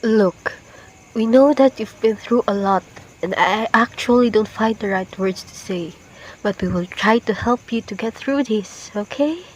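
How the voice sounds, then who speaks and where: A woman's voice: on the high side, unhurried, close to an everyday voice, low-quality recording. A woman playing a meek, long-suffering wife in an amateur radio drama. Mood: consoling. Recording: faint ambient noise.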